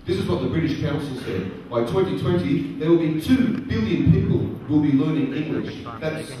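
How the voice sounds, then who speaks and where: A middle-aged man speaking to a live audience at a career event, using slow, simple, repeated phrasing and asking the crowd questions. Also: fairly high pitch, mellow. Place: large conference hall. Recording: very echoey hall, distant voice, faint noise.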